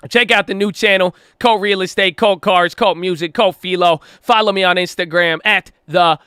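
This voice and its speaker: Hyped high-voiced man with slangy chat. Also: steady tone, moderate pace, bright, forceful tone, smooth, no echo, studio quality. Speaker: trading channel host. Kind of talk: casual hyped vlog talk.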